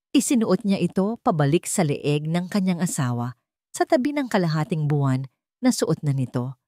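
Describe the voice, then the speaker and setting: A woman narrates a sentimental tale in a low, soft-edged voice. Her delivery is measured and earnest, like a storyteller reading from a script, and the sound is very dry and close. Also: no room sound.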